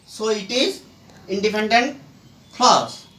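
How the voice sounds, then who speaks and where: A teacher explaining English grammar step by step, in short halting phrases broken by pauses. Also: mid-range voice, fairly bright tone, slight background noise. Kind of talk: slow explanatory grammar lesson. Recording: roomy echo, distant microphone.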